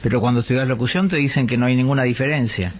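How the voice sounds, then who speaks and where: A man's voice: mid-range voice, rapid-fire, breathy edge. An Argentine man co-hosting a radio show, explaining things like a trained announcer. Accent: Argentine Spanish.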